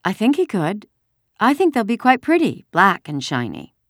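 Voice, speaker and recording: Woman playing young girl, raised pitch, lively melody, helpful, theatrical, persuasive, storybook dialogue. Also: normal speed, no echo, clean studio sound. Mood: reassuring. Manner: sophisticated, bright persuasive delivery. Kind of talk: acted children's audiobook dialogue.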